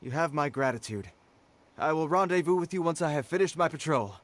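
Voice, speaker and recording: An actor playing a dutiful city guard soldier who speaks formally and earnestly, with game music behind him. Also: male voice, fairly high pitch, expressive, fairly bright tone, slight background noise. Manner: formal, measured and proper. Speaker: game character.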